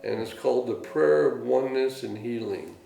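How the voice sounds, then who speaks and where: Gravelly-voiced man, solemn and measured. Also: medium pitch, warm tone. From United States. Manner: slow, solemn prayer reading. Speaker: guest, writer and elder spokesman. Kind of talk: storytelling, then reading a prayer aloud. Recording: echoey room with music behind.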